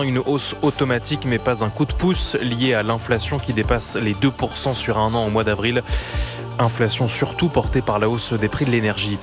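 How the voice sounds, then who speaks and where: A man reading the headlines of a radio news bulletin in a brisk, even way. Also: mid-range voice. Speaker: news reader.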